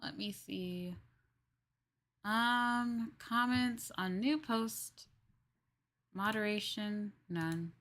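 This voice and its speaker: A woman's voice: mid-range voice, extremely slow, long pauses, a bit bright. A woman livestreaming a game with casual commentary, chatting to viewers and rambling as she thinks aloud. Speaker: livestreamer playing a farming game.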